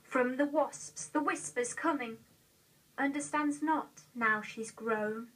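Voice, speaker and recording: An eighteen-year-old woman with an English accent recites a poem in a measured, carefully enunciated way on an old video recording. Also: high-pitched, a bit bright, husky, far from the mic, rough recording. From England. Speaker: poetry reader. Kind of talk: poetry recitation. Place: indoor room, seated.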